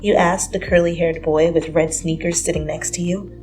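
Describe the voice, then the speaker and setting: A woman's voice: fairly low pitch, steady tone, normal speed, a little mellow. A woman reading a story aloud as scripted, dramatic second-person narration. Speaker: fan fiction reader. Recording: music under the voice.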